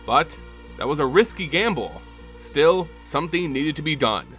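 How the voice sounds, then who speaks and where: A man narrating a fantasy game's story as a dramatic lore reading, in a lively storytelling manner. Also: on the high side, moderate pace. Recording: music bed underneath.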